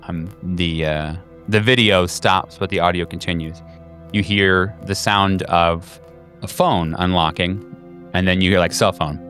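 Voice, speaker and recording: A man working as game master, improvising horror narration in a halting way with drawn-out pauses over music. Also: low-pitched, fairly even intonation, fairly bright tone.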